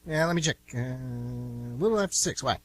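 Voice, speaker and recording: A man's voice: medium pitch, normal speed, dry recording, natural voice. A man playing a nosy, blunt younger brother with a casual, pestering manner in an amateur radio play.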